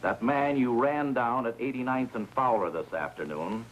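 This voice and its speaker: An actor playing a calm, probing police lieutenant in a 1950s TV crime drama, speaking in a measured, drily sardonic way. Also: male voice, medium pitch, close to an everyday voice. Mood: firm, confronting. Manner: measured, dry, probing questioning. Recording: noisy 1950s TV soundtrack.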